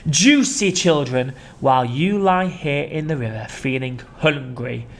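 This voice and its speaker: A storyteller voicing a boastful, greedy, enormous male crocodile, speaking slowly and gloatingly. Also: medium pitch, close to an everyday voice. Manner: slow, gloating, drawn-out delivery.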